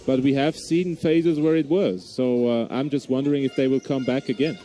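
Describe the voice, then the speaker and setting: A man's voice: medium pitch, fairly even intonation, moderate pace, dark tone, faint music behind. A man with a Swiss German accent, a non-native English speaker, giving live basketball play-by-play with frequent hesitations and restarts. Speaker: basketball commentator.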